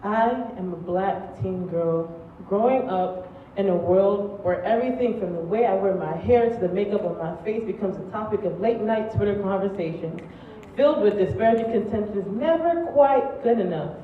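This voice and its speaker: A young woman performing a poetic monologue on stage with rhythmic, deliberate phrasing. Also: mid-range voice. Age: young adult. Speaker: student spoken-word performer. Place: stage in a large room. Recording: muffled, echoey, distant, faintly noisy.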